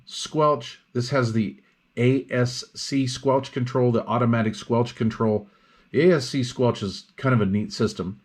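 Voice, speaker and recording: Man giving a casual, unhurried product demonstration. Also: medium pitch. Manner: unhurried, explanatory, step-by-step. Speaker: radio equipment reviewer.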